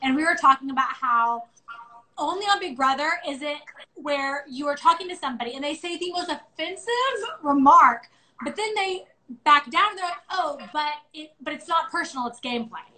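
A woman's voice: sits high, moderate pace, sharp tone, poor audio. A thirty-year-old woman hosting a casual live chat interview from her phone, informal and bubbly, poking fun at herself. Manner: informal, bubbly, self-deprecating, swears. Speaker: interview host. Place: at home on a phone live stream.

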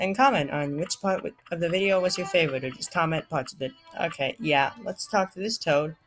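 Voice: medium pitch, a bit bright. A gamer hosting a casual let's play, talking in a playful, rambling way that drifts into babble. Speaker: gaming video host.

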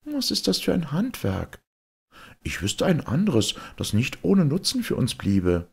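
A man's voice: fairly high pitch, wildly swooping pitch, unhurried, gravelly, breathy edge, pitched above the performer's own voice, heavily acted. A man voicing a beautiful young enchanted wife, caring and earnestly giving instructions, in a storybook reading.

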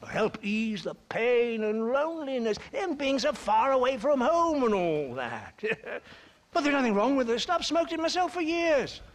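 A high, wildly swooping British voice for a middle-aged colonial rogue in a Western game. He stammers and blusters pompously, drawing out his words at a slow pace. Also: big pitch swings, full character voice. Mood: giddy, defensive. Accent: British English. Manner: blustering, stammering, pompous, tags phrases with "what?". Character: dissolute, jovial middle-aged English opium trader in the Old West. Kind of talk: video game cutscene acting.

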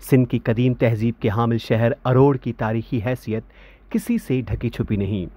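A man's voice: medium pitch, fairly even intonation, moderate pace, warm tone, no echo. A man in his thirties hosting a travel vlog, upbeat on camera and formal in his narration. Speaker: host. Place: outdoors by a ruined site.

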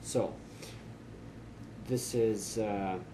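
Guy in his 40s explaining pc stuff to a webcam, lowish voice, some hiss behind him. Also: drawn-out words. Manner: relaxed, conversational, frequent "uh" fillers. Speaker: tech tips host. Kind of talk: casual how-to explanation to camera. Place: small office indoors.

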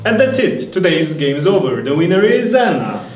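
Animated male game show host, roomy sound. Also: fairly high pitch, normal speed. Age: young adult. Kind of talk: amateur game show presenting. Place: small indoor room. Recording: roomy, distant mic, music behind.

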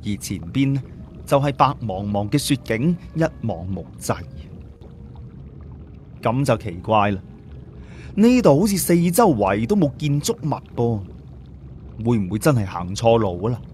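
Male audiobook narrator, dramatic and animated delivery, faint background noise. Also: medium pitch, a little slow, pauses often. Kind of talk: dramatic scripted story narration.

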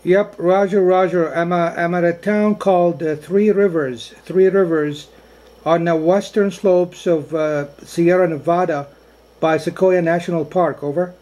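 A man's voice: high voice, fairly even intonation, unhurried, darkish tone. A man operating an amateur radio indoors, speaking in radio procedure phrases and repeating key words. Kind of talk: ham radio contact, short spoken exchanges. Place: indoors in a cabin.

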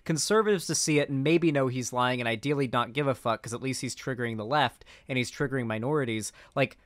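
A young man giving off-the-cuff political reaction commentary as a streamer, halting and repeating himself, sardonic and sweary. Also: mid-range voice, no echo, studio quality. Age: young adult. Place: indoor streaming room.

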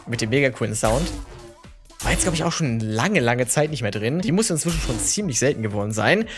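A hoarse young man hosting his gaming channel, casually talking viewers through the day's game shop items in a chatty, relaxed way. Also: medium pitch, fairly quick, over music.

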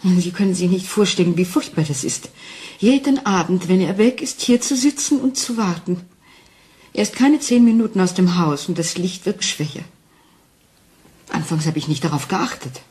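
An actress playing a frightened wife who doubts her sanity, speaking anxiously and haltingly in a German crime radio play. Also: lowish voice, darkish tone, lightly acted. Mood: fearful, urgent. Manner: anxious, halting, intense, dropping into whispers.

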